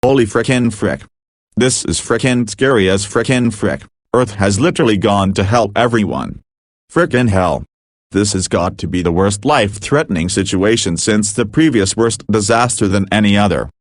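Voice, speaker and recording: A man's voice: fairly low pitch, lively intonation, moderate pace, dry recording, studio quality. A man playing a frazzled emergency broadcast announcer in an amateur doomsday drama, mixing formal bulletins with slangy exclamations. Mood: dismayed. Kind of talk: amateur scripted emergency broadcast narration with informal outbursts.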